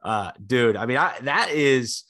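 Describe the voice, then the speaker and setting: Young male podcast co-host, bright edgy tone, excitable casual chatter, slightly congested. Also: medium pitch, unhurried. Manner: excitable, chatty, lots of fillers. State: congested from illness. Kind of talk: casual sports podcast chat.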